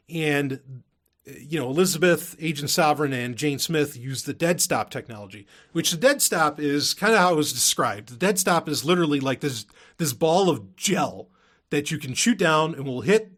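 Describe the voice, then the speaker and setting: Higher-voiced man rambling casually. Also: a bit bright. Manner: rambling, self-interrupting, with frequent hedging asides. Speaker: podcast host. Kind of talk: casual unscripted monologue.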